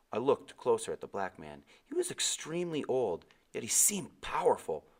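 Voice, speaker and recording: A man narrating an adventure novel aloud in an expressive storytelling style. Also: fairly low pitch.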